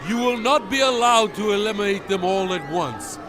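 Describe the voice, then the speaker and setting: An actor playing a solemn, commanding prophet in a dramatized audio Bible, stern and fervent, speaking with deliberate, emphatic oratory. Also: male voice, high voice, expressive, crowd noise. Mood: fervent, rousing. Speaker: dramatized scripture narrator. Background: music.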